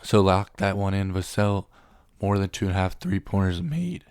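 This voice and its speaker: A man's voice: sits low, expressive, moderate pace, a little mellow, smooth, no echo, clean studio sound. A young man getting over a cold, casually running through sports betting picks and reading stats off the screen with a few ums.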